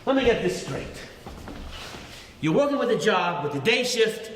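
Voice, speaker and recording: A man's voice: high-pitched, sing-song, moderate pace, fairly bright tone. A man acting an exasperated working-class father scolding his grown son in a live stage play. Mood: lecturing. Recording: echoey noisy theatre hall recording.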